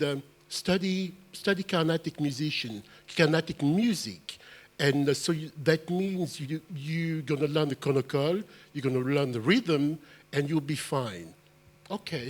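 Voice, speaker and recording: A man who grew up in France and Belgium, a musician on a panel, telling his story in an earnest, animated way with halting restarts. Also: a little high.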